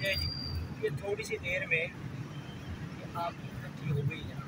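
Pakistani guy doing a how-to vlog, kinda high voice, repeats stuff for emphasis, noisy n far from the mic. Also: wildly swooping pitch, unhurried. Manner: repetitive, emphatic explaining. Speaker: angler presenting a fishing tutorial. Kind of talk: informal how-to vlog narration. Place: outdoors in a park, later another spot after leaving it. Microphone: phone camera, some distance away. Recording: distant mic, noisy ambient background.

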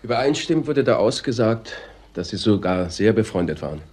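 An actor playing a blunt male police detective in a crime drama, husky and pointed in his questioning. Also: on the low side, big pitch swings, a little fast, darkish tone. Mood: matter-of-fact. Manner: pointed, direct. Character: blunt, accusing junior police detective, man.

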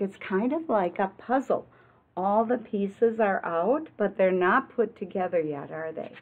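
An American woman in her sixties reads a picture book aloud like a teacher, expressively and deliberately, adding explanations and questions for young listeners. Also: mid-range voice. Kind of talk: children's read-aloud with explanatory asides. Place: classroom, indoors. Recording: muffled, lo-fi webcam-like sound.

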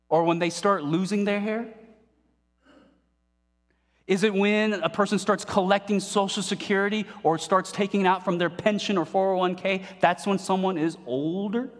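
A man in his forties preaching a sermon from a pulpit, animated and playful, asking the congregation questions. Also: high voice. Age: 40s. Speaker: pastor. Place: church stage at a pulpit, indoors. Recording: long reverb of a hall.

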